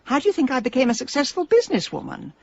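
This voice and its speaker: A husky, middle-aged English businesswoman in a radio comedy-drama. She is stubborn and sardonic, with lively swings of pitch and a brisk, exasperated manner. The audio is a little dull. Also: no room sound, exaggerated voice. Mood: insistent.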